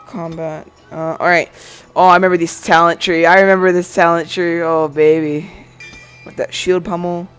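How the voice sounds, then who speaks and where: Excitable young gamer with high voice. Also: male voice. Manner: excitable, crude, joking. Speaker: gaming video host. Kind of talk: casual let's play commentary. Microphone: gaming headset.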